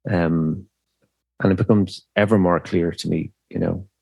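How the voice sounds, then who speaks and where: Low-voiced Irish man, halting and thoughtful. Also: fairly even intonation, gravelly, a little mellow, breathy edge, no echo. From Ireland. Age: middle-aged. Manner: thoughtful, halting, many fillers and restarts. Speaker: musician guest. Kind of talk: reflective podcast interview.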